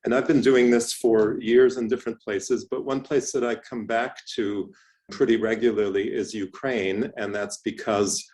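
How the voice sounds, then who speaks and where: A middle-aged man from Canada giving a lecture presentation, speaking in a thoughtful, measured way with frequent restarts and fillers. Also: lowish voice, fairly even intonation, darkish tone, rough recording. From Canada (Toronto). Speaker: professor giving a talk.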